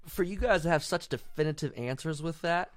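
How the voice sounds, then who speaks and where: A man's voice: on the high side, a little fast. A man co-hosting a casual podcast, reading out betting odds and explaining them at length. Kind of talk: casual sports-betting chat.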